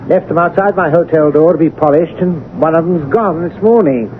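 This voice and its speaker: A man playing a young, indignant baronet in a radio drama, blunt, colloquial and excitable. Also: a little high, moderate pace, close to an everyday voice. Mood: defiant. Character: indignant, blunt male young baronet, newly come into inheritance. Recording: tinny old radio recording, faint noise.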